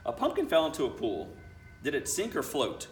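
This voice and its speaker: A man reads a children's book aloud as a school principal would, expressive and chatty with his young listeners. His pitch moves around a lot, and he sounds slightly away from the mic in an echoey room with faint noise. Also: moderate pace, far from the mic.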